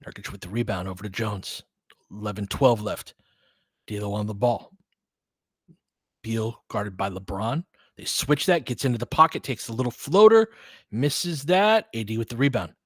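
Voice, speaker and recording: A man's voice: mid-range voice, expressive, normal speed, long pauses, husky, dry recording, studio quality. A man hosting a casual live basketball play-by-play, mixing chatty asides with short, clipped calls of the action. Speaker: host doing fan play-by-play of a basketball game. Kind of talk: live sports commentary mixed with casual chat.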